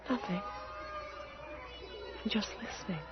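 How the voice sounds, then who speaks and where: A woman's voice: unhurried, close to an everyday voice. Woman playing a distant, haunted wife, muffled recording. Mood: dreamy, uneasy. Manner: subdued, distant. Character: distracted, haunted, quietly fragile wife. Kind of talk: old-time radio drama acting. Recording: dull, faintly noisy old radio recording.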